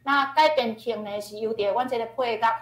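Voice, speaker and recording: High-voiced Taiwanese woman teacher, roomy sound. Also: fairly quick. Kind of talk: informal lecture, explaining song lyrics. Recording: roomy, echoing online-class audio.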